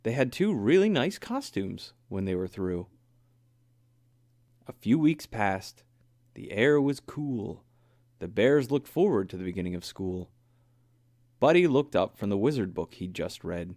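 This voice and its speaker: A man reads a rhyming children's story as narrator. His voice sits a little low and moves with plenty of expression. He phrases slowly and deliberately, in a dry, close-sounding recording. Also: big pitch swings, a little mellow, no room sound.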